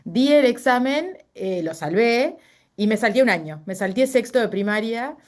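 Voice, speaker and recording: A woman's voice: on the high side, expressive, normal speed. A middle-aged woman with a Uruguayan Spanish accent, speaking as a guest in a casual interview and telling personal stories with frequent fillers and self-corrections.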